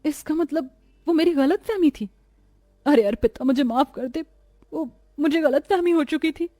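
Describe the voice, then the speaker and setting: Woman acting high-pitched, jealous, fiery cartoon wife. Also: normal speed, mellow, dry recording, clean studio sound, natural voice. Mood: apologetic. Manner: emphatic, melodramatic. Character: jealous, suspicious young wife, fiery and accusing. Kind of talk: cartoon family melodrama dubbing.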